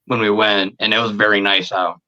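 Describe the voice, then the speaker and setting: A man in his thirties chatting casually as a podcast guest, halting and often repeating his words and phrases. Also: on the low side, flat delivery, bright, forceful tone, dull audio. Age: 30s. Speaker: podcast guest, video creator. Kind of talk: casual interview chat.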